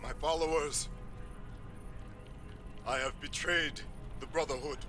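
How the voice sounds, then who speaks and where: A broken male cult commander, high and slow, confessing to followers in a game cutscene. The halting, declamatory voice comes from a distance. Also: fairly even intonation, over music. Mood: anguished, penitent. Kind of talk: scripted video game cutscene acting. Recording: distant voice, far from mic.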